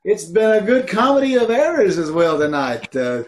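A man's voice: sits high, sing-song, moderate pace. A middle-aged man casually and jovially hosting a live sign-off on a video call, introducing an amateur cast. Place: room at home, indoors.

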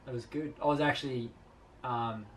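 A man's voice: mid-range voice, steady tone, normal speed, rough recording. Australian man chatting, faint background noise. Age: 30s. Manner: quotes dialogue as he recounts. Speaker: sailing vlog host. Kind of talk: casual chat, anecdote. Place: small boat cabin at a marina. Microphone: camera across the table.

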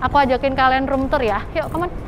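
Indonesian woman vlogging a hotel room tour, high bright voice, chatty and excited, faint room hum behind. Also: fast talker. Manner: chatty, enthusiastic, addresses viewers directly. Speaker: travel vlogger.

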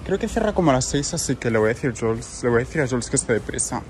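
A man's voice: medium pitch, animated, very fast, darkish tone. A man in his twenties with a Spain Spanish accent, chatting casually as a vlogger. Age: 20s. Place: busy restaurants, shops and a home kitchen. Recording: noisy handheld vlog recording.

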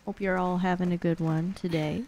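A woman's voice: on the low side, level tone, normal speed, a little mellow, slight background noise. A young woman giving casual live gaming commentary in a playful, slangy, chatty way. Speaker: streamer. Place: indoor streaming room. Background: horror game sounds.

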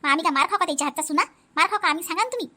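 A protective elder sister-in-law acted by a woman with a very high, rapid voice. She is outspoken and emphatic, repeating her points in a Vidarbha Marathi accent, as in a family cartoon. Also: pushed and bright, full character voice. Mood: scolding. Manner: emphatic, repetitive, advising. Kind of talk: animated family comedy acting.

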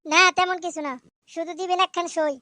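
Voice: very high-pitched, steady tone, brisk, no echo, clean studio sound. A performer voicing a blunt, principled cartoon man who argues against bribery, with a Bangladeshi regional accent. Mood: questioning. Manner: blunt, argumentative. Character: plain-spoken, principled man refusing to pay bribes. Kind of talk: comic cartoon voice acting. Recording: loud music behind the voice. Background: loud music.

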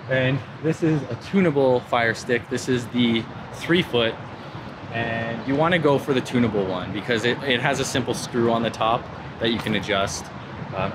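A man's voice: mid-range voice, background noise. A man, giving a laid-back, informal hands-on tutorial as a vlogger. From Canada. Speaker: vlogger presenting a vehicle install. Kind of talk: casual hands-on tutorial talk to camera. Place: in a vehicle and outdoors under an overpass.

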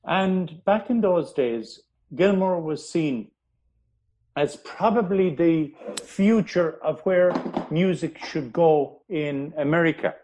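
A man, a historian, telling history in an interview, pausing often with ums and restarting his sentences. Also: a little high, a little mellow, a little hiss or hum, low-quality recording. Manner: hesitant, many ums and restarts. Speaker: historian guest.

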